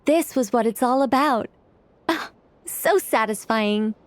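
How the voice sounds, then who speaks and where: A woman's voice: on the high side, a little slow, dry recording, natural voice. A woman playing a wise, playful fairy guide in a video game, chatty and theatrical with a genteel, self-satisfied air.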